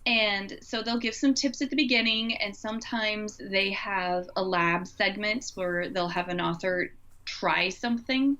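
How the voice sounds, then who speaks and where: A woman's voice: mid-range voice, unhurried, pushed and bright, muffled recording. A woman chatting informally and enthusiastically, recommending things in casual conversation.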